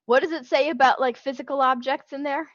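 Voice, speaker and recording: A woman's voice: high voice, steady tone, fast, no room sound, muffled recording. A woman running a tabletop game as game master, narrating with lots of laughter.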